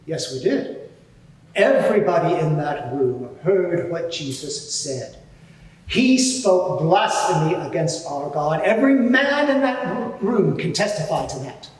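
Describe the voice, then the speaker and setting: A man playing a haughty, evasive high priest on the witness stand in a live courtroom drama, speaking formally and emphatically in his own defence. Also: expressive, a little slow. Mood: defensive, emphatic. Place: large reverberant courtroom, live event. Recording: very echoey hall, distant microphone.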